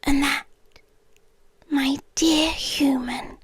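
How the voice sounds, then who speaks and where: Actress as a yandere queen for ASMR drama: British, female, courtly and possessive, soft menace, breathy murmurs sliding into whispers, extremely unhurried, very dry close sound. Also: sits high, steady tone, dry recording. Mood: possessive, quietly menacing. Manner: slow, deliberate, intimate. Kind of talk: ASMR roleplay. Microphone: close, dry microphone.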